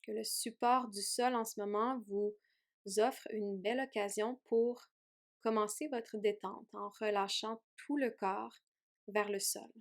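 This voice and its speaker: A woman yoga teacher leading a guided meditation in a gentle, soothing way with long pauses. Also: mid-range voice, steady tone. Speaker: yoga teacher guiding a relaxation.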